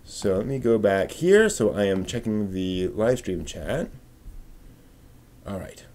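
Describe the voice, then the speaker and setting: A man's voice: on the low side, lively intonation, takes it slowly, darkish tone, a little hiss or hum. Man casually rambling on a live stream. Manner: informal, rambling, thinking aloud.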